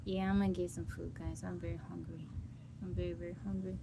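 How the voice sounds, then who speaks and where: A woman's voice: lowish voice, little pitch movement, fast, creaky. Chatty woman livestreaming with ambient noise. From United States. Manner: chatty, greets viewers by name. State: hungry and a little jittery. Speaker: livestream host. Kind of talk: casual live chat with viewers.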